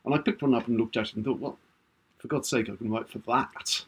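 Husky older man telling a story. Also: mid-range voice, steady tone, quick pace. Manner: warm storytelling with hesitant restarts. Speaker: podcast co-host, writer. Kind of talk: casual chat.

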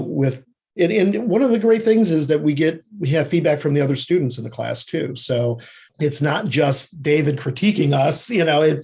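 A man answering as an interview guest in a conversational, reflective way, haltingly thinking aloud. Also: mid-range voice, mellow, husky. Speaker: interview guest, screenwriter and TV creative services producer.

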